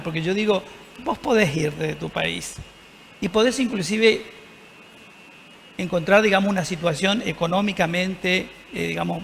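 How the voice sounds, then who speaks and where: A man's voice: high voice, vocal fry, a bit bright, big-room sound, slight background noise. A man with an Argentine accent, a priest speaking earnestly and reflectively in a TV panel discussion. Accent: Argentine Spanish.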